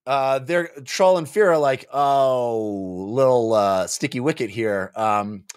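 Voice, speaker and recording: A man's voice: medium pitch, slow, smooth, no echo. A man in his forties chatting casually and jokily as a podcast host, acting out bits of a story, close on a studio microphone. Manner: animated, jokey, acting out characters' lines. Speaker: book club podcast host. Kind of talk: casual recap chat about a novel. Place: small indoor home studio. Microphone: close studio microphone on a boom arm.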